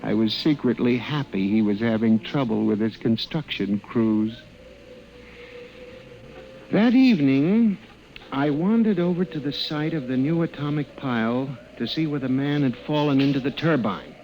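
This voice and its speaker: An actor playing a timid, hesitant middle-aged male clerk who narrates and acts in an old-time radio drama, with an apologetic, quietly resentful manner. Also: mid-range voice, moderate pace, highs cut off, slight background noise, natural voice. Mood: wistful, bitter. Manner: hesitant, meek, deferential. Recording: old radio broadcast with music bed.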